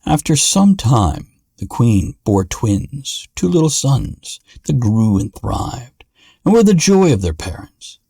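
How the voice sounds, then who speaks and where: A man narrating a fairy tale in a measured, dramatic storytelling manner. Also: medium pitch, big pitch swings, gravelly, warm tone, breathy edge, no room sound, studio quality. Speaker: podcast narrator.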